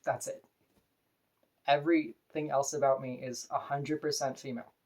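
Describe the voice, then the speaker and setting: A woman with a deep voice, talking to camera in an earnest, opinionated, plain-spoken personal vlog. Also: flat delivery, normal speed, darkish tone. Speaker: vlogger.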